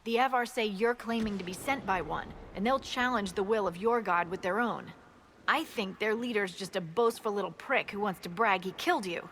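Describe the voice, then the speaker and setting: An actress playing a brisk woman military scout in a video game, briefing in a frank, dry way. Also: mid-range voice, fairly bright tone, a little hiss or hum, lightly acted.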